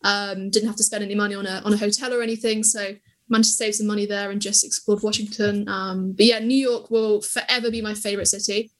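A woman's voice: medium pitch, level tone, quick pace. A woman in her twenties, a podcast guest and travel creator, telling travel stories with enthusiasm and plenty of fillers. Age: 20s. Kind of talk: casual interview chat.

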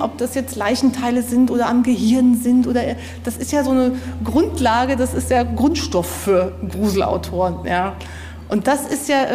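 A woman's voice: fairly high pitch, darkish tone. A woman speaking as a guest author in casual discussion, enthusiastic and often tagging her sentences with a questioning "ja?". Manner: enthusiastic, tag-question "ja?" endings. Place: historic castle site. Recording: long reverberant hall.

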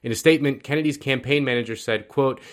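A man's voice: mid-range voice, quick pace. A male host giving sarcastic political commentary, mocking and wry as he reads quotes. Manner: sardonic, mocking.

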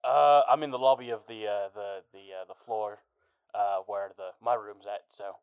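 A hesitant greenskin fumbles through a call, heard over a tinny, dry phone line, voiced by a man. The voice is gravelly yet smooth and keeps stalling between words. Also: lowish voice, steady tone, normal speed, dry recording. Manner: hesitant, full of "uh"s.

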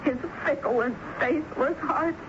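A scorned, defiant woman, speaking slowly with dramatic, emphatic pauses. Her voice is high and impassioned, heard through a noisy old radio broadcast with a narrow sound. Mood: brooding, anguished. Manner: theatrical, halting, emphatic phrasing. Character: jilted, defiant woman confessing to murder, proud and impassioned. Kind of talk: vintage radio drama acting.

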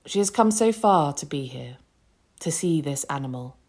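A woman reading an excerpt from her own novel in a measured, deliberate way. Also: sits low, lively intonation, a little mellow, slightly rough. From England.

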